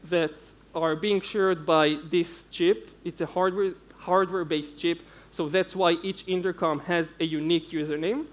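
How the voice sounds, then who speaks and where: Young man, high voice, technical conference talk, informal, step-by-step explanation. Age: young adult. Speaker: conference speaker, security researcher. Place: indoor stage at a conference.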